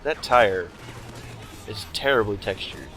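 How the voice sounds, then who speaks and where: A man's voice: on the low side, animated, slow pace, fairly bright tone. A man co-hosting a let's-play session, making dry, sarcastic jokes about the game. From United States. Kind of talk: casual gaming banter.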